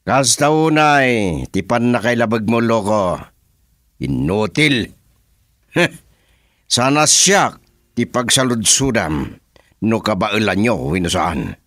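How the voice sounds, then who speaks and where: A man's voice: mid-range voice, animated, slow, long pauses, a bit bright, no echo, studio quality. A man playing a commanding, insulting crime boss in a radio drama. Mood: menacing. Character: scornful male crime boss ordering sabotage.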